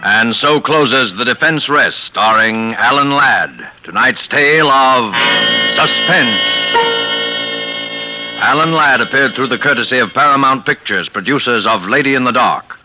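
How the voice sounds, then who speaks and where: A man announcing in scripted 1940s radio style, measured, with dramatic pauses. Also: medium pitch, expressive. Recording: muffled vintage radio with music behind.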